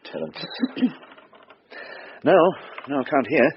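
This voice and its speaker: A man's voice: mid-range voice, animated, slow pace, highs cut off, slight background noise. A man acting in a radio drama as a polite, hesitant and guarded bank manager. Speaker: actor.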